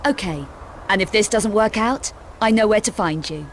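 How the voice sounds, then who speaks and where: A cool adventurer in a game cutscene, voiced by a woman, dry and clipped with a wry edge. Her voice is brighter and higher than the man she trades lines with. Faint traffic hum sits behind her. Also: moderate pace, close to an everyday voice. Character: cool, daring female adventurer on the run. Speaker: lead character. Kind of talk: video game cutscene acting.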